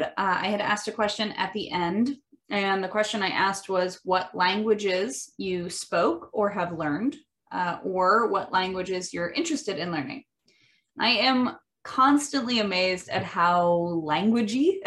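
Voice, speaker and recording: A woman with a Midwestern American accent talks through an educational topic at a middle pitch. She speaks thoughtfully, often pausing on ums and restarting her sentences as she works out her points. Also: fairly bright tone. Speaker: podcast host. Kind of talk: educational monologue.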